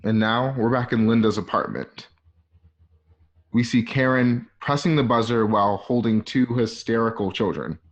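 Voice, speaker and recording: A man's voice: mid-range voice, normal speed, muffled recording. A man narrating scripted stage directions for a radio drama.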